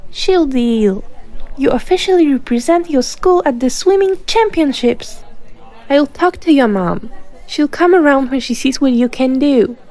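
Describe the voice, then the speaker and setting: Woman acting a high-voiced, mellow, persuasive coach. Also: lively intonation, a little slow. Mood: reassuring. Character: sly young woman coach posing as caring friend. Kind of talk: fan-dub comic voice acting.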